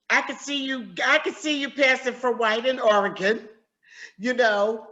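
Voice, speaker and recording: A woman's voice: a little high, pushed and bright. Woman interviewer, slightly dull audio. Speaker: interviewer, host. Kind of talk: conversational interview.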